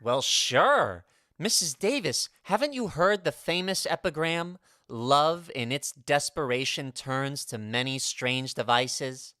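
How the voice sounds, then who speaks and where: A woman's voice: very deep voice, expressive, takes it slowly, fairly bright tone, dry recording, clean studio sound, full character voice. A woman voicing an earnest, melodramatic teenage schoolboy who declaims and confides, in vintage radio comedy style. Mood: insistent, earnest. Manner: conspiratorial, grandly declaiming.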